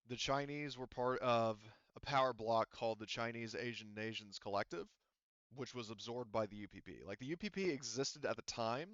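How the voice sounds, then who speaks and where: A man running a tabletop roleplaying game off the cuff as game master, rambling and halting with frequent restarts and asides. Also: on the low side, a bit bright, clean tone, no echo, highs cut off.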